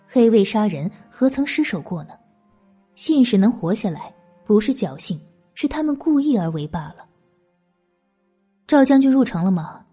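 A woman's voice: mid-range voice, big pitch swings, moderate pace, mellow, husky, soft background music. A woman reading a historical novel as scripted, dramatic audiobook narration.

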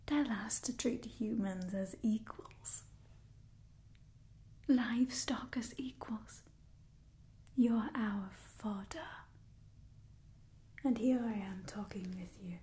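A young woman playing an ancient, haughty vampire clan leader in a soft, breathy whispered ASMR roleplay, bitter and disdainful. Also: mid-range voice, lightly acted. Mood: indignant, bitter. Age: young adult. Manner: disdainful, theatrical, weary sighing, slow and deliberate.

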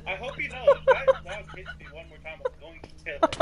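A woman's voice: very high voice, wildly swooping pitch, fast, soft background music. A woman chatting casually with friends during a game. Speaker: player in a group gaming roleplay. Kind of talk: casual gaming chat.

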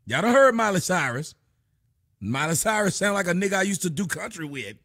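Rowdy mocking man, high lively voice. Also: brisk. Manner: loud, rowdy, mocking, heavy cursing. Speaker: livestream host. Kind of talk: profane comedic commentary on a clip. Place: indoor home room.

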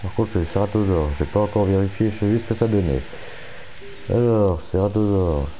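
Brief: stuffy-voiced man mumbling through gameplay, low and very mellow, faint music under him. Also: fairly quick. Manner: muttering, swears at setbacks. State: has a cold. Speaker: gaming channel host. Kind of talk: casual gameplay commentary.

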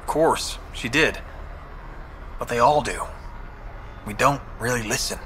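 An actor playing an anxious, guilt-ridden debt collector for a gang in a video game, speaking in a nervous, hesitant way. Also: male voice, mid-range voice, measured pace, pushed and bright, slight background noise. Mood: guilty, worried. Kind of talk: scripted game dialogue. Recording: faint vehicle and outdoor ambience.

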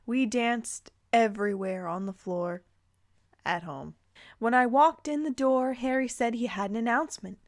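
A woman's voice: on the high side, a little slow, no room sound, clean studio sound. A woman reading fan fiction aloud, dropping casual, incredulous, playful asides as she goes.

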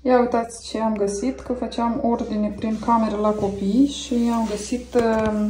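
A fairly high-voiced woman in her thirties from Romania talks chattily into her phone while tidying and cleaning her home. Her narration is relaxed and unscripted, with frequent pauses and fillers as she moves from one chore to the next. A faint hiss of noise sits behind her voice. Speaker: family vlogger. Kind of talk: casual clean-with-me vlog narration. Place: family home, indoors. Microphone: phone camera.

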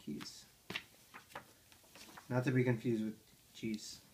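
A man's voice: medium pitch, flat delivery, slow, dark tone, slight background noise, poor audio. A father reading picture books to his toddler at home, playful and coaxing, asking her questions about the pages. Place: living room armchair at home.

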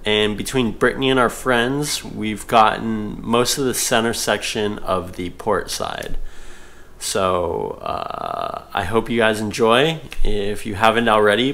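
Male host, 30s, slightly low voice, easygoing filler-heavy vlog chat. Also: vocal fry. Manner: relaxed, chatty, lots of fillers and laughs. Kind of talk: casual to-camera vlog update. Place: living room, seated on couch indoors.

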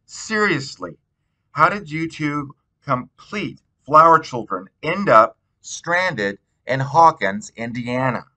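A man's voice: on the high side, lively intonation, takes it slowly, bright, forceful tone, pitched above the performer's own voice, heavily acted, low-quality recording. A man voicing a sarcastic, stubborn fifteen-year-old girl from a novel, pointed and insistent.

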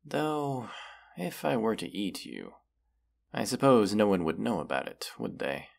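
A man voicing a proud, menacing wounded dragon in a fantasy audio roleplay, speaking in a haughty, deliberate, archaic way. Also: fairly low pitch, big pitch swings, gravelly, a little mellow, heavily acted. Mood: menacing musing.

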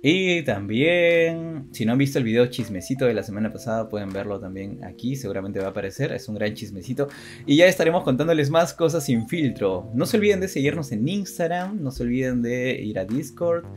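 A man's voice: mid-range voice, lively intonation, fairly quick, soft background music. A young adult man co-hosting a casual chat for viewers, hesitant and often repeating his phrases. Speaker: co-host of an online chat show.

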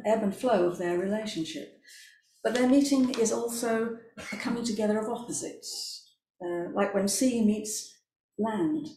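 A woman's voice: medium pitch, warm tone. An older British woman, a playwright, giving a hesitant prepared speech in a formal parlour. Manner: hesitant, unpractised. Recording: echoey room, faint noise.

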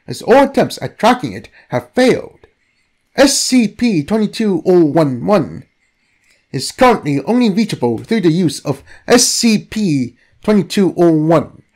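Scripted narrator, lively swings in pitch. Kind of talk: scripted documentary-style narration.

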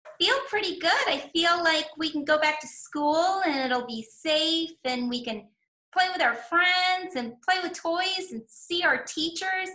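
A woman's voice: very high voice, measured pace, pushed and bright, heavily acted. A woman voicing an eager, cheerful young child puppet in a children's puppet show, exclaiming in a childlike way. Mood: excited. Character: excited, cheerful young child puppet going back to preschool. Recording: echoey room, faint background noise.

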